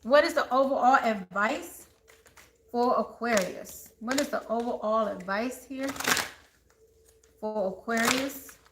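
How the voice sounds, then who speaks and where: A woman's voice: a little high, takes it slowly, pauses often, a bit bright. A woman reading tarot cards live, chatty, laughing a lot and talking straight to her viewers. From born in Texas, raised in the Maryland and DC area. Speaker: tarot reader. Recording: echoey room with faint noise.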